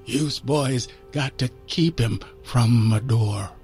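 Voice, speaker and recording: A man voicing a dying old man, rough and pained, who speaks bluntly and haltingly in a told story. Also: medium pitch, a little mellow, faint music behind. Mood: urgent, grave. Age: older. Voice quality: rough, pained, rasping, coughing.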